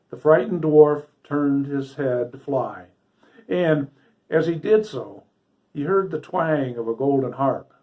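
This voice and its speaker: A man narrating a fairy tale for a story hour, with dramatic, deliberate phrasing. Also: medium pitch, darkish tone, rough recording. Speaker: storybook reader.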